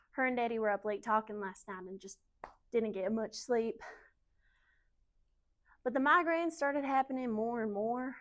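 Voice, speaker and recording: Woman acting anxious young wife, higher-pitched. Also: natural voice. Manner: halting, confiding, emotional storytelling. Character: anxious young Southern wife, naive, defending her husband. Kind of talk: dramatic monologue in speech competition.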